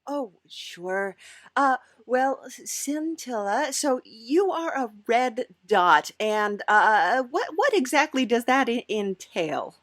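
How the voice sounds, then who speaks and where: Brief: female podcast host, slightly high voice, slow and hesitant, casual comedic interviewing, dry close sound. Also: very animated, a bit bright, no echo. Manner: halting, hesitant, stammering.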